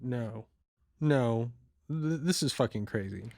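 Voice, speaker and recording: A man's voice: mid-range voice, expressive, slow, creaky, darkish tone, no room sound. Audio drama role, male actor: guilt-prone male fugitive, defensive and hesitant, medium register, dry recording. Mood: anxious, guilty.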